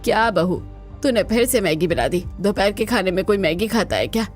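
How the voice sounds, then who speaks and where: A woman voicing the women of a cartoon household with an expressive, theatrical delivery. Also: medium pitch, a little fast, faint music behind, natural voice. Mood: exasperated. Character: women of a cartoon household, scheming young daughter-in-law and exasperated elders. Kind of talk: dramatised cartoon dialogue.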